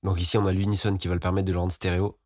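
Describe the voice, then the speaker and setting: A man giving an informal, explanatory software tutorial as a voice-over. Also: low-pitched, level tone, very fast, music in the background. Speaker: music production tutorial host.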